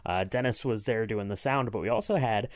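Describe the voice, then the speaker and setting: A man hosting a podcast in casual chat, speaking haltingly as he thinks out what to say. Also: lowish voice, no echo, studio quality. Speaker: podcast host.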